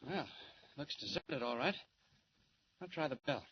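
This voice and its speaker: An actor with an American accent playing a mild-mannered reporter who is secretly a confident hero, in a 1940s radio drama. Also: male voice, medium pitch, unhurried, natural voice. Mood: breezy, probing. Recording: muffled, noisy 1940s radio recording.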